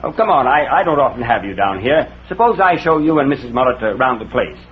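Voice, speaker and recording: An actor plays an unflappable, hard-boiled editor from 1940s radio drama. He talks in a brusque, casual way, with lively swings in a medium-pitched voice. The old recording is dull with light noise. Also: fast, close to an everyday voice.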